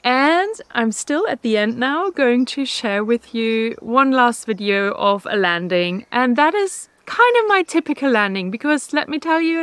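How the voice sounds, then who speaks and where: A woman's voice: a little high, clean tone, no echo. A woman in her thirties chatting upbeat to the camera outdoors, with small self-corrections. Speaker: vlogger. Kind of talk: casual talk to camera. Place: outdoors in a garden.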